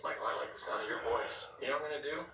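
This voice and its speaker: A man's voice: medium pitch, very fast, sharp tone, reverberant, in a whisper, recorded from a distance, natural voice. An actor playing a bumbling, boastful office manager who keeps switching into put-on customer voices, heard as sitcom sound from a TV across the room. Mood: showy. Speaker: sitcom character playing in the background.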